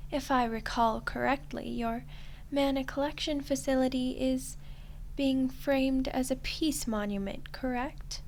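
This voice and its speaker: A woman playing a smug, teasing archdemon in a roleplay ASMR style, soft and condescending, with an unhurried delivery. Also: high voice, steady tone, a little hiss or hum. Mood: mocking. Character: smug, teasing female archdemon toying with a human ruler.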